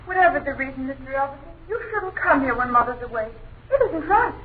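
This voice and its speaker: High-voiced, proper young lady. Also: lively intonation, fast, narrow, tinny audio. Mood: uneasy politeness. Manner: proper, formal, pleading. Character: polite, frightened young woman fending off unwanted suitor. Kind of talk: old-time radio drama acting. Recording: thin, noisy old radio recording.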